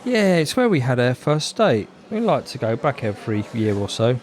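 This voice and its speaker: A man's voice: mid-range voice, very animated, normal speed, darkish tone, natural voice. A man playing a genial, homely husband of nearly sixty in a comic audio drama. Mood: easygoing. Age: older.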